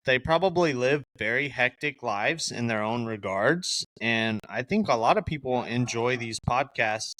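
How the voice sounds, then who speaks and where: A man's voice: mid-range voice, animated, a little slow, few pauses, fairly bright tone. A young adult man co-hosting a podcast in casual chat, teasing and joking with boastful banter. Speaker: podcast co-host.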